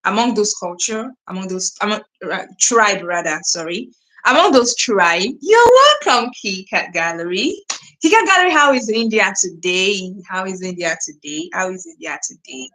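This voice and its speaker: A woman's voice: medium pitch, expressive, moderate pace, pushed and bright, low-quality recording. A young Nigerian woman with a Nigerian accent hosting a casual livestream, chatting to viewers with lots of fillers, restarts and repeated phrases. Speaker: livestream host. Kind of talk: casual live stream chat reading viewer comments.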